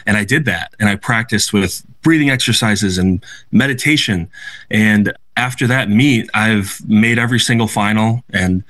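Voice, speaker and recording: A man's voice: fairly low pitch, normal speed, a bit bright, rough recording. A young American man, a guest athlete, answering interview questions in an earnest, reflective way, often restarting his sentences. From United States. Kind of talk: radio interview.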